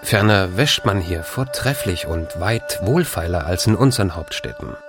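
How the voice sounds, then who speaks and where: An actor voicing an earnest old-time European traveller, reading a formal account in measured, emphatic, archaic diction for a radio play. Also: male voice, low voice, expressive. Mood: earnest, disapproving. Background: music behind the voice.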